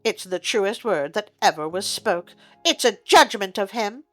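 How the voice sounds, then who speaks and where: Reader acting an emphatic, gossipy laundress woman, animated. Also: medium pitch, a little slow, faint music behind. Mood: emphatic, reproachful. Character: obliging, gossipy laundress, judging the dead. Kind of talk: storybook character reading.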